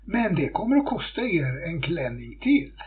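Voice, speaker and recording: Man playing a bold servant girl, raised pitch. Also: big pitch swings, a little hiss or hum. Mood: bold, cheeky. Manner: pert, confident. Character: bold, unafraid servant girl, cheeky bargainer. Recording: muffled phone-like audio.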